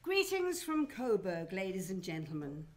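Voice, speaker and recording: A woman's voice: mid-range voice, very animated, normal speed, a little mellow. A woman announcing and narrating in a theatrical, formal old-time radio show style.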